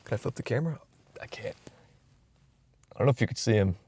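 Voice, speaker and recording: A man's voice: medium pitch, expressive, quick pace, darkish tone, breathy edge. A young man vlogging from inside a car, musing aloud in a loose, rambling way with frequent restarts, recorded on a phone camera. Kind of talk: casual rambling vlog.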